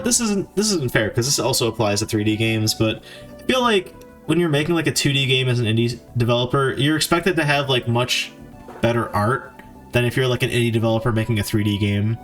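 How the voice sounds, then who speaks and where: A man chatting casually on a live stream while he codes, thinking aloud in a halting, informal, self-deprecating way. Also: medium pitch, a bit bright. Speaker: game developer streamer.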